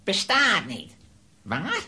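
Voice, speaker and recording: Slow, sharp-voiced sinister sorcerer villain. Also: male voice, high-pitched, wildly swooping pitch, husky, full character voice. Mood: menacing, boastful. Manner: menacing, theatrical, measured pronouncements. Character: sinister, boastful male sorcerer villain, the Raven. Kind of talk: children's audio drama acting.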